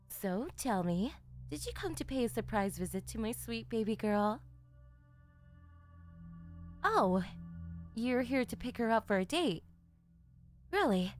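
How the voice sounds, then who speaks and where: A woman voicing a doting, obsessive yandere mother, chatty and sugary, in an ASMR roleplay. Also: on the high side.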